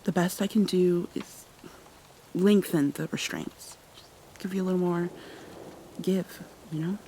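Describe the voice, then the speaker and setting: ASMR roleplay: actress as possessive yet tender female captor, haunted, coaxing, halting, breathy whispers, very unhurried, mid pitch, rain noise behind. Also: fairly even intonation, poor audio. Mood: hesitant, accommodating. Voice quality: soft, breathy, often dropping into whispers. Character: tender, obsessive female kidnapper soothing her captive, haunted, possessive. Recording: clear background noise, rain ambience.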